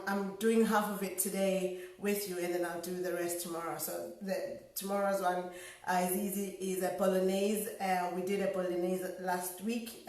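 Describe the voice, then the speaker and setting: A middle-aged woman with a hoarse, slightly nasal voice, chatting loudly with many fillers while cooking at home. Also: mid-range voice, far from the mic. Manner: loud, close to shouting, many fillers. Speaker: home cook vlogger. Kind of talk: casual cooking vlog chat. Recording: very echoey kitchen, voice off-mic.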